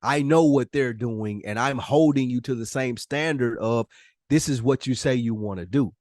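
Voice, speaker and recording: A man talks on a podcast in a medium-pitched voice. He is candid and self-reflective, using loose slang and swearing freely. The sound is dry, with very little room in it. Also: moderate pace, darkish tone, no echo. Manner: informal slangy talk with profanity. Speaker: podcast host. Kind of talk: casual, candid podcast conversation.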